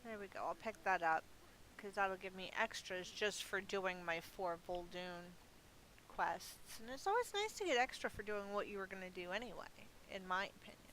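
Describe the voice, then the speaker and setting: A woman's voice: mid-range voice, animated, moderate pace, fairly bright tone, low-quality recording. A middle-aged woman giving casual, rambling gameplay commentary in a chatty, self-amused way, as if thinking aloud. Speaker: gaming video host. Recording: clearly audible background hiss.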